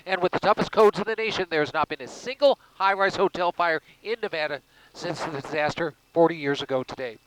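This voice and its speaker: A high-voiced male TV reporter giving a live news report in measured broadcast phrasing. There is faint noise with small clicks behind his voice. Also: fairly bright tone, poor audio.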